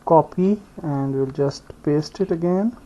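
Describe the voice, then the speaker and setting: A man giving an unscripted step-by-step software tutorial, speaking haltingly and thinking aloud as he works. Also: on the high side. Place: indoors, screen-recording voice-over. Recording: dull lo-fi voice recording.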